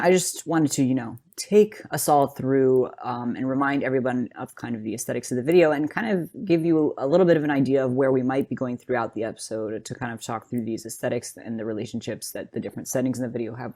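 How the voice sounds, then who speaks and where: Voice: mid-range voice, a little mellow. A podcast host talking casually and conversationally through an analysis, rambling a little as they go. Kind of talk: casual video analysis talk.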